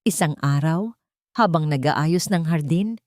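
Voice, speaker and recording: A woman's voice: deep voice, expressive, measured pace, a little mellow, no room sound, clean studio sound. A woman reading a scripted romantic drama story as a narrator.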